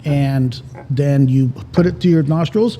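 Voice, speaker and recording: Male co-host, higher-pitched and mellow, casual joking storyteller, salty language, recorded in a noisy reverberant room. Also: measured pace. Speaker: podcast co-host. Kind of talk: casual bantering chat. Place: indoor cigar lounge. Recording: reverberant room, background noise.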